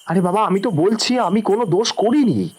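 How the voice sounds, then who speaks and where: A man's voice: sits high, brisk, a little mellow, husky. A man playing a nervous, evasive young suspect in an audio drama, stammering and protesting in an agitated way. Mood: flustered protest. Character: Hossain, nervous, evasive young man under police suspicion.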